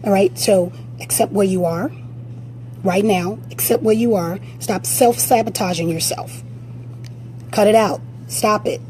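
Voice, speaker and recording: A woman fitness coach with a raspy voice, giving blunt, direct motivational advice during a live-stream Q&A on a phone. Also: medium pitch, measured pace, a little mellow, a little hiss or hum.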